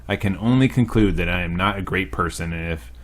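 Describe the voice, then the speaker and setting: A man's voice: low-pitched, fairly quick. A young man reading a visual novel aloud as a let's play host, with dry, joking asides.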